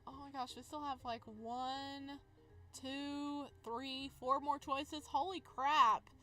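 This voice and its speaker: A woman hosting a gaming video, moving between expressive story narration and casual, animated commentary. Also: sits high, takes it slowly, soft background music. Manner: animated, exclamatory asides. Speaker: gaming video host reading a visual novel.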